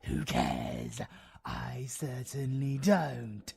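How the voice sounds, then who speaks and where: A gleefully predatory ancient vampire with a British accent, made for villain audio roleplay. The voice is creaky and gravelly, crawling along at an extremely slow pace. It swings widely in pitch, mocking and theatrical, as if toying with prey. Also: male voice, wildly swooping pitch, a little mellow, faint music behind, exaggerated voice. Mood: amused, dismissive. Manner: theatrical, mocking, taunting, breaking into singsong. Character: sadistic grey-skinned ancient vampire monster, male, gleefully predatory.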